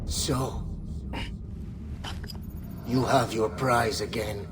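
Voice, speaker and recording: An actor playing a greedy, taunting mercenary warlord in a video game, drawing out his threats slowly. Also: mid-range voice, fairly even intonation, noisy background. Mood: bitter, dying. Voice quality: slow, taunting. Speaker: villain. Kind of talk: video game cutscene acting.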